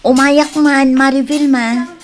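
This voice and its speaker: A woman's voice: high voice, little pitch movement, slow pace, soft background music. A woman playing an indignant complaining daughter with an Ilocano accent in a radio comedy drama. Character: indignant adult daughter complaining about bad food.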